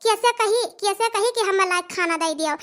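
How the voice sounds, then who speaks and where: A woman's voice: extremely high pitch, brisk, fairly bright tone. A young woman with an Awadhi accent playing an overworked, complaining village daughter-in-law in a comic cartoon, repeating her phrases for emphasis. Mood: bitter, complaining.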